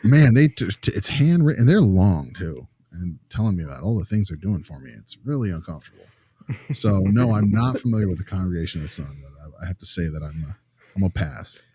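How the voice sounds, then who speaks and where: A man's voice: lowish voice, a little mellow, slightly rough, no room sound. A man speaking informally in a casual podcast discussion, blunt and opinionated as a co-host.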